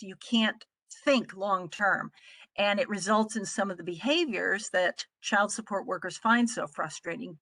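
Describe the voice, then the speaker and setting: A middle-aged American woman from the Midwest giving an explanatory presentation as a trainer, speaking in a measured, articulate way. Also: medium pitch, pushed and bright, dry recording. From Midwestern United States. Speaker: trainer presenting on a conference call.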